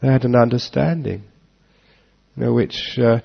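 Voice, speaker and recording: A man giving a relaxed Dharma talk to an audience, joking and chuckling as he answers questions. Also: medium pitch, moderate pace, vocal fry. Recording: dull lo-fi talk recording.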